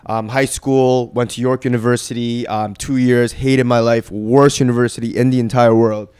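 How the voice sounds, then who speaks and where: A man's voice: mid-range voice, flat delivery, normal speed, darkish tone, clear, resonant tone. A young man from Korea, a guest on a casual podcast, telling his life story in a laid-back way with lots of fillers. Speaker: guest, boxing trainer.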